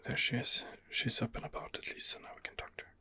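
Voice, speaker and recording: A man narrating an ASMR game playthrough in a soft, hesitant half-whisper, thinking aloud as he goes. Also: fast. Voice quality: soft, breathy half-whisper with vocal fry. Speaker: gamer role-playing a video game character.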